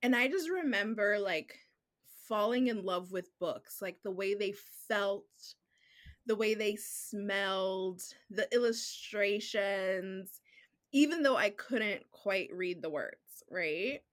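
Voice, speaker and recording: A woman guest whose first language is Spanish, telling her story in a relaxed, chatty way. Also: mid-range voice, slow pace. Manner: relaxed, chatty, heavy use of "like" and "um". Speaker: children's book author, guest. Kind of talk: casual podcast conversation.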